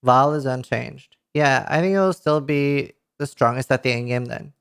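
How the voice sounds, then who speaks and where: A man in his thirties with a Norwegian accent reads patch notes aloud to a live chat, reacting with excited outbursts and casual swearing, into a headset mic. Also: medium pitch, normal speed, vocal fry, clear, resonant tone. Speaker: streamer. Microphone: headset mic at a desk.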